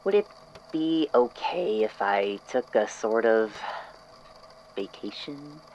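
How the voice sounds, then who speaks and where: An actor playing an earnest, tentative, loyal coworker in an audio drama, with hesitant, halting phrasing. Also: mid-range voice, animated, lo-fi sound, a little hiss or hum. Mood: apologetic, nervous. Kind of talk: fan audio drama acting.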